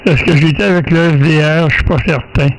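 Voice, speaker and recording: A man with a Quebec French accent, chatting casually as an amateur radio operator in a halting, hesitant way. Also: on the high side, steady tone, rough recording. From Canada. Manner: hesitant, halting, many pauses. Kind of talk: casual radio contact chat.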